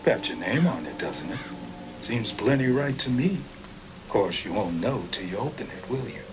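An actor playing a calm, cryptic older American mail carrier in a video game, folksy, wry and unhurried. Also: male voice, on the low side, background noise. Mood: knowing.

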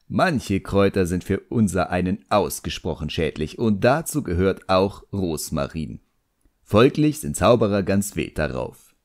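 A man's voice: low voice, no room sound, clean studio sound. A man narrating an audiobook story in the first person with a wry, scripted delivery.

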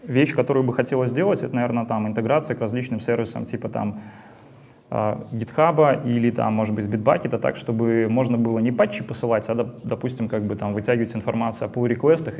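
A man's voice: medium pitch, fast, creaky, darkish tone. A young man giving a casual talk with a live demo at a meetup, speaking into a handheld mic and rambling with many fillers and restarts. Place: meetup hall.

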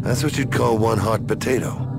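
Gravelly, sardonic game hero. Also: male voice, fairly low pitch, normal speed, over loud music. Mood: wry. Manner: sarcastic, wry drawling. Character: cynical, sardonic tough-guy hero of a sci-fi game. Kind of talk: video game cutscene acting.

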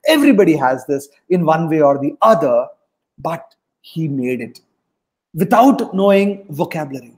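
A man's voice: a little high, very animated, normal speed. A middle-aged man with a South Indian accent, coaching students in a motivational webinar, repeating his points for emphasis. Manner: emphatic, repeats lines for effect, mixes in Hindi. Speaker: test prep coach. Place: home room on a video call.

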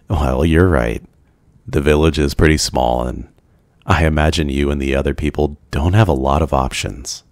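A man voicing a brooding, lonely ancient vampire in an ASMR roleplay, gentle, courteous and soft-spoken, with hesitant pauses. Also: extremely low pitch, steady tone, dry recording, clean studio sound. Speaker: roleplay voice performer. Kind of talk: ASMR boyfriend roleplay, scripted.

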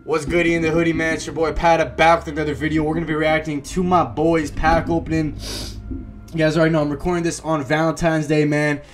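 Rambling young man with a slightly high voice. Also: level tone, fast, faint music behind. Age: young adult. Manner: rambling, slangy, lots of fillers. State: losing his voice. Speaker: YouTube gaming creator. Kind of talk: casual reaction commentary.

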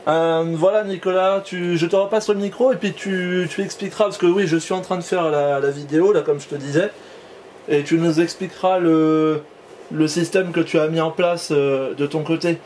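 A man's voice: fairly high pitch, fairly even intonation, moderate pace, a little mellow, slight background noise. A man giving a casual explanatory demonstration as an amateur radio operator, hesitating and repeating words as he goes.

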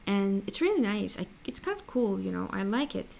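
A woman's voice: medium pitch, very animated, moderate pace, darkish tone. A woman giving a casual, hesitant and rambling screen-share walkthrough as an online vlogger. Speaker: crypto vlogger. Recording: clear background noise.

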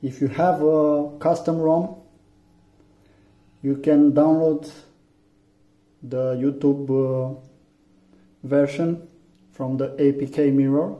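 A man with a Romanian accent speaks slowly and haltingly, pausing on fillers as he walks through phone settings. His voice is very dark and mellow. The sound is echoey and a little dull, like a lo-fi recording in a room. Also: on the high side, fairly even intonation. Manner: halting, many fillers, plain instructions. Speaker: tech tutorial maker. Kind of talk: hands-on phone tutorial. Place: room indoors, phone on a table.

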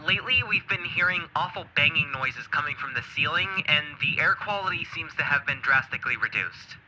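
A concerned parent phones a hotline in a video game. The delivery is measured and formal, far lower than a shrill cry. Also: female voice, lively intonation, a crowd in the background. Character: worried parent calling a household hotline about house trouble.

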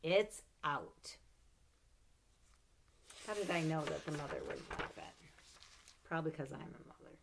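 A middle-aged woman reading a children's picture book aloud at home, expressive and animated, with playful asides between pages, recorded on a webcam. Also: sits low, a little slow, creaky, pauses often, noisy background. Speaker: storybook reader. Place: home room with bookshelves. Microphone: webcam at desk.

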